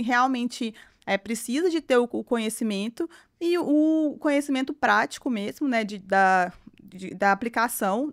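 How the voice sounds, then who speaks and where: A woman speaks as a guest on a podcast, explaining at length with constant filler tags. Her voice sits a little high and sounds smooth and resonant. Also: fairly bright tone. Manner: rambling, frequent "né" tags. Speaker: guest engineer. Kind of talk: podcast interview.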